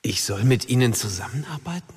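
An actor playing an earnest young hero and questioning son in a German fantasy radio drama. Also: male voice, medium pitch, animated, a little fast, darkish tone, breathy edge. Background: music.

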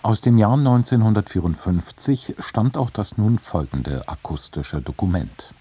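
A man's voice: fairly low pitch, animated, a little slow, dark tone, slightly rough. A man working as a radio announcer, reading a formal scripted introduction.

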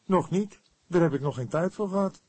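A man's voice: high voice, moderate pace, a little mellow, breathy edge, no room sound, pitched above the performer's own voice. A man voicing an eager young male police detective in an audiobook, hesitant at times, protesting and emphatic.

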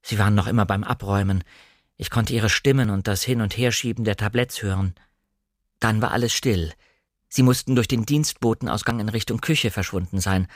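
A man's voice: lowish voice, no echo, studio quality. A man narrating an audiobook, reading scripted literary first-person prose in a composed, careful storytelling manner.

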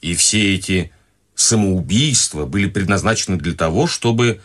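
An actor playing a pompous middle-aged psychiatrist who asks measured, probing questions with little hums. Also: male voice, deep voice, lively intonation, a bit bright. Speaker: radio play actor. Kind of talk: radio play acting.

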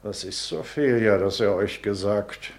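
A man's voice: fairly low pitch, unhurried, vocal fry. An actor playing a pompous, scolding old courtier and father in a German radio play, speaking sententiously and theatrically. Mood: prying, scolding.

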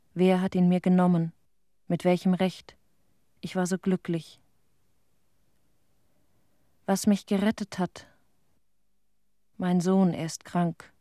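An actress playing a haunted, tender middle-aged widow in a radio play, speaking in halting short phrases of her dead husband. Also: lowish voice, warm tone, no echo, studio quality, natural voice. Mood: desolate, resigned. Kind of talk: intimate radio play monologue.

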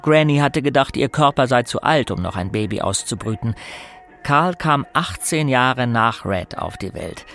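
An actor narrating a first-person story of boyhood in a German audio drama, plain and measured. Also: male voice, medium pitch, animated, vocal fry, a bit bright. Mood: matter-of-fact. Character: male narrator looking back on a rough boyhood. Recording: faint music behind.

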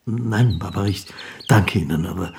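A man's voice: deep voice, gravelly, darkish tone, slight background noise. An actor playing a timid, courteous musician who tells his story in a hesitant, formal, literary way. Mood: shy, earnest. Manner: hesitant, halting, formally polite. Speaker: actor narrating as the title character. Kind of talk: literary radio drama, first-person narration and dialogue.

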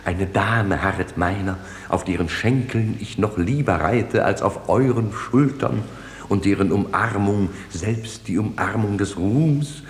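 Ein Schauspieler spielt in einem komischen Hörspiel einen stolzen, polternden Familienvater und Helden aus dem alten Athen, theatralisch und pompös. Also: male voice, low voice, heavily acted. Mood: grandly courteous. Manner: theatrical, pompous. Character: proud, blustering father, vintner hero of ancient Athens. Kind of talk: comic stage-style radio drama dialogue. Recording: hissy 1969 radio play recording.

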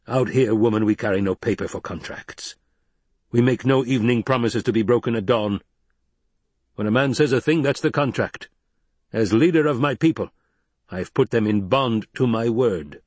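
A man voicing a stern, proud leader of a desert tribe, grave and forceful. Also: medium pitch, moderate pace, dry recording, lightly acted.

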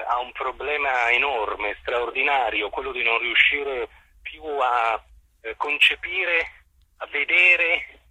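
A man giving a radio interview as a theatre director, speaking in a measured, halting way with elaborate, formal phrasing. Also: medium pitch, sharp tone, breathy edge, poor audio. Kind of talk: radio interview, explanatory talk.

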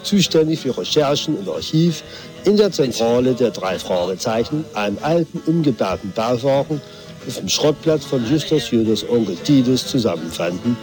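A man playing the formal storyteller of a detective radio play, narrating in a measured, scripted way. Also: medium pitch, very animated, darkish tone, faint music behind, lightly acted. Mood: storytelling.

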